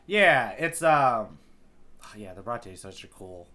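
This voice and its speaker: A man's voice: medium pitch, animated, normal speed, pushed and bright. A man co-hosting a podcast in casual conversation, thinking aloud in a rambling way and often saying "like". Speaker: podcast co-host. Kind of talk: casual chat recapping a manga arc.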